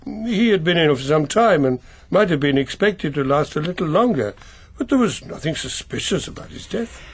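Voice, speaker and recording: An actor playing a proper, reserved older English family solicitor, precise and a little hesitant, in a radio mystery drama. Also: male voice, a little high, expressive, moderate pace, a little hiss or hum. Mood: measured recollection.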